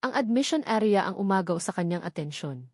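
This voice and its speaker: A woman's voice: medium pitch, animated, brisk, a little mellow, no room sound, clean studio sound. A woman reading a scripted romantic drama story as its narrator.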